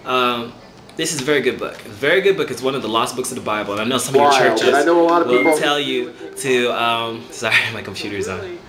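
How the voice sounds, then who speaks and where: A man's voice: medium pitch, lively intonation, fast, roomy sound, a crowd in the background. A man giving an earnest, encouraging talk to camera, repeating words as he thinks. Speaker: spiritual vlogger.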